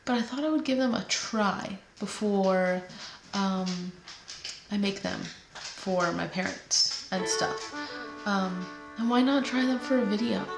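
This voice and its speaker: A woman's voice: medium pitch, slow, over music. A woman hosting a home cooking vlog, chatting casually and rambling a little, sounding nasal while she gets over a head cold. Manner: chatty, rambling, laid-back with many fillers. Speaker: home cooking channel host. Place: home kitchen. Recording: echoey room, faint background noise.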